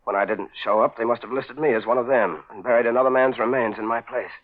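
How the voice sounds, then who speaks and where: An actor playing a despairing, fatalistic amnesiac thief in the dramatic style of 1940s radio. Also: male voice, medium pitch, fast, natural voice. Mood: haunted, regretful. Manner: bitter, fatalistic. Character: despairing amnesiac man turned thief, hunted and resigned. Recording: muffled, narrowband 1940s radio recording.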